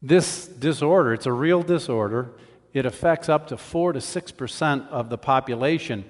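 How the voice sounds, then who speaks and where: A man giving a conversational sermon as a preacher, in a measured, explanatory way. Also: medium pitch. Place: large church hall. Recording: reverberant hall sound.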